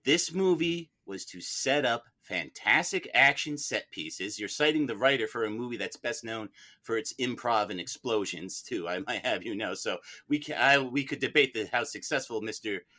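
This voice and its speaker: A man's voice: mid-range voice, lively intonation, moderate pace, sharp tone. A man arguing as defense counsel in a mock courtroom debate, argumentative and leaning on repeated phrases for emphasis.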